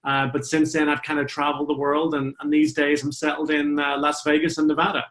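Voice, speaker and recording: A man's voice: a little high, monotone, brisk, fairly bright tone. A middle-aged man with a Northern Irish accent, a flute player chatting as an interview guest, friendly and talkative with many fillers. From Northern Ireland. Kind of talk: interview guest, conversational storytelling.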